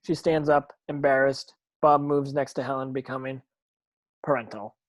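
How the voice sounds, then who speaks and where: A man's voice: mid-range voice, level tone, moderate pace, highs cut off. Man narrating a script, stumbling a bit. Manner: stumbling over words, rereading. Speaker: narrator reading stage directions. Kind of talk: scripted narration, amateur table read.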